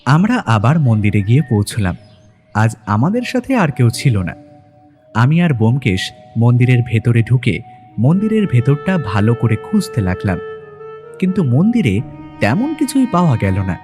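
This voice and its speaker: A man's voice: medium pitch, big pitch swings, moderate pace, darkish tone. A man narrating a detective story in the first person, in a dramatic scripted audio-story style. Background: background music.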